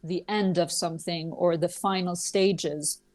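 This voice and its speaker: Podcast guest from Switzerland: low, monotone woman, measured and explanatory. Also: no echo. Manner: measured, explanatory, with many fillers. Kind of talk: podcast interview.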